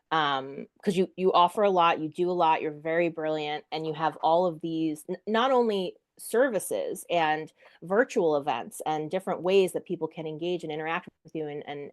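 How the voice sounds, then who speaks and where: A woman with a notably low voice hosts a relaxed podcast interview. She offers frequent supportive murmurs and loose, filler-filled questions as she chats with her guest. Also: no room sound, studio quality. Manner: warm and affirming, with many "mm-hmm" and fillers. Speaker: podcast host. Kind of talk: casual interview chat.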